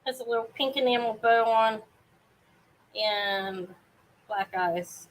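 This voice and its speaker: Brief: woman, live-sale host, loud carrying voice, rambly and self-interrupting, home setup. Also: fairly high pitch, takes it slowly, rough recording. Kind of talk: casual live sale chat. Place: home apartment, fan running.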